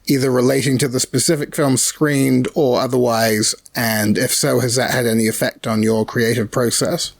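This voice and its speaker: A man moderating a festival panel, putting a prepared question in a clear, deliberate way. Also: medium pitch, fairly even intonation, dry recording. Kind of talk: reading a prepared audience question.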